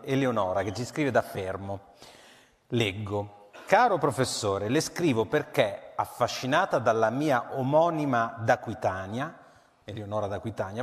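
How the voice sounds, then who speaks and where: A middle-aged man hosting a live podcast event on stage, reading questions aloud in a measured way. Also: medium pitch. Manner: measured, reads aloud from a sheet. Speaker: host reading audience questions. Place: large concert hall with audience. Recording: long hall reverb.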